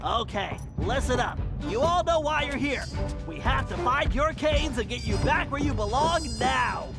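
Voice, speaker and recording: An excitable little cartoon game strategist with a very high voice. He directs the team in precise, urgent phrases, with loud music underneath. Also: moderate pace. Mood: urgent briefing. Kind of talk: animated video game cutscene acting.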